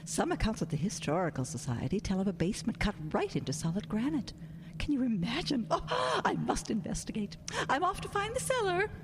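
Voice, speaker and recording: An actress playing a prim, excitable woman historian in a radio drama, with formal, theatrical diction. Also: a little high, a little fast, noisy background. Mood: excited, determined.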